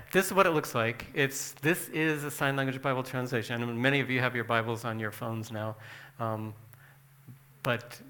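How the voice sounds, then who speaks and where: Man presenting slides, plain talky explainer, mid-pitched voice. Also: pushed and bright.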